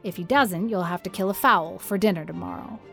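A woman's voice: lowish voice, brisk, gravelly, bright, forceful tone, soft background music. A woman voicing a stern older woman who admonishes and instructs a child. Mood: instructing. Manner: admonishing, prim.

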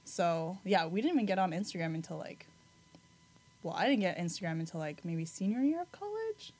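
A young woman co-hosting a podcast, chatting casually with quick lively asides. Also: lowish voice, breathy edge. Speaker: podcast co-host. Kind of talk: casual chat.

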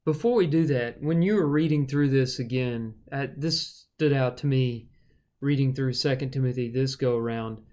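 A man's voice: mid-range voice, normal speed, a little mellow. A man preacher co-hosting a casual Bible study podcast, thinking aloud with fillers and restarts.

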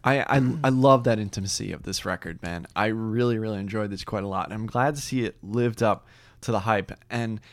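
A man's voice: fairly low pitch, fairly quick, no room sound. A man co-hosting a podcast, reviewing an album in casual chat and repeating words for emphasis.

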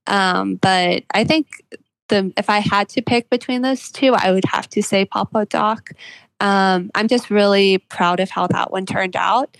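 Woman guest author in an interview, earnest and measured, vocal fry, close dry sound. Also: mid-range voice, steady tone, dry recording, rough recording. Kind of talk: reflective interview conversation.

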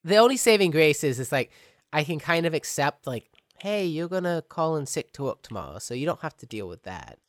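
A man's voice: on the high side, very animated, brisk, dry recording, clean studio sound. A man co-hosting a casual podcast over a remote call, coming down with a cold and stuffed up, rambling and correcting himself as he jokes. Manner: rambling, self-correcting, joking. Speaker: podcast co-host.

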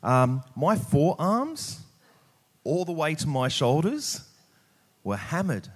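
A man's voice: fairly high pitch, sing-song, slow pace, breathy edge. A middle-aged Australian man preaching at church in an informal, plain-spoken, conversational way. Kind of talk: church sermon with prayer, scripture reading and casual anecdotes.

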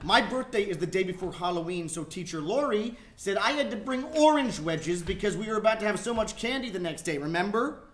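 A man's voice: sits high, animated, brisk, hardly any pauses, fairly bright tone. A man playing an earnest, bossy young child in a live comic stage reading, with emphatic, precise declarations. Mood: insistent explaining. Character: young child, earnest, bossy, precocious about Santa's lap. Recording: roomy live stage recording, clear background noise.

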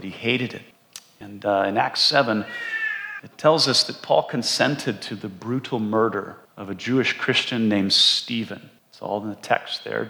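A man's voice: mid-range voice, a bit bright, long reverb tail. A man teaching a Bible lesson to a room, conversational with frequent fillers and check-ins with his listeners. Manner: conversational, many fillers and asides, checks in with "okay?". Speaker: pastor teaching a Bible study. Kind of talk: sermon-style Bible teaching. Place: room with an audience.

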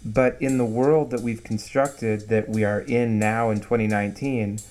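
A man's voice: fairly low pitch, fairly even intonation, normal speed, a little mellow, faint music behind. A man hosting an interview, musing thoughtfully and philosophically. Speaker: interviewer host. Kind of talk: conversational interview.

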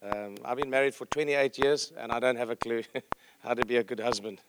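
A man's voice: mid-range voice, a little fast, very noisy. A middle-aged man with a South African accent speaking informally to a congregation as a visiting pastor, conversational, humorous and self-deprecating. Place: church service, speaking to congregation.

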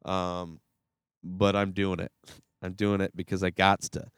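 A man's voice: sits low, level tone, unhurried, clear, resonant tone, no echo, clean studio sound. A man hosting his own movie review podcast, talking casually with a stuffy, nasal voice from a cold, rambling and swearing with lots of fillers and restarts.

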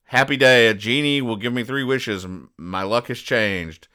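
A man voicing a hapless, hopeful comic-strip legionnaire in an excitable, exclamatory manner. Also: mid-range voice, moderate pace, clear, resonant tone, natural voice. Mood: hopeful, delighted.

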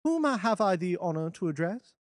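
A man reading a classic detective story, voicing a sharp-witted gentleman detective who talks crisply and with command. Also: high-pitched, sing-song, normal speed, no echo, studio quality, voice pushed up. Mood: brisk, assured. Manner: crisp, commanding, dryly amused. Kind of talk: audiobook character voice.

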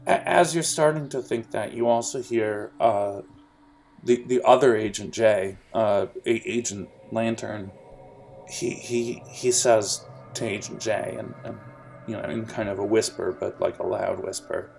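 Gravelly young man running the game. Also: on the low side, unhurried, darkish tone, faint music behind. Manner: rambling, jokey descriptions with many fillers. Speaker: game master. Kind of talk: narrating a tabletop game.

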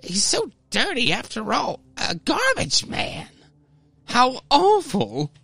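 A man's voice: very high voice, wildly swooping pitch, slow pace, creaky, bright, forceful tone, husky, dry recording, voice pushed up, exaggerated voice. A man voicing prim, indignant neighborhood women gossiping in a radio play style reading of a comic. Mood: scandalized.

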